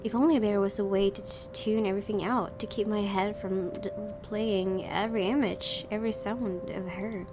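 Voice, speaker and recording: Woman, casual let's-play narration, expressive, reading aloud, guitar game music behind. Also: medium pitch, normal speed, few pauses. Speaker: gaming YouTuber reading a visual novel aloud.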